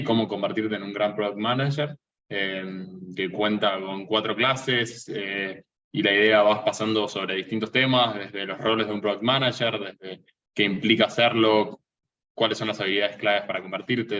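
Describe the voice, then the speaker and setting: Brief: man, low-ish voice, relaxed webinar host, lots of "em" and restarts, distant muffled call audio. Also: normal speed. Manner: hesitant, many fillers and restarts. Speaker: co-founder and event host. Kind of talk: casual live webinar introduction. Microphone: video call.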